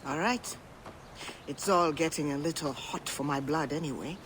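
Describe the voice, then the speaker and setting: A woman voicing a brash, cynical gambler in a video game, mocking and quick-tongued. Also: low voice, slight background noise, lightly acted. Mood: wry.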